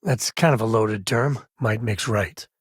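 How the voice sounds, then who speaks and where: An actor voicing a laid-back, protective frontier ranger and father in a space video game, with a husky voice and folksy, wry phrasing. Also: on the low side, measured pace, dry recording, studio quality. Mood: determined. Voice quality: husky, raspy. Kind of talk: video game character voice lines.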